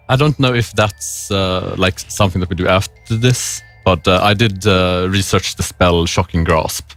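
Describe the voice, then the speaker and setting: A man's voice: low-pitched, fairly even intonation, a little slow, fairly bright tone, faint music behind. A young man playing a tabletop game, easygoing and answering often with "mm-hmm". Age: young adult. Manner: easygoing, frequent "mm-hmm" replies. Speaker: player. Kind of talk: casual tabletop play.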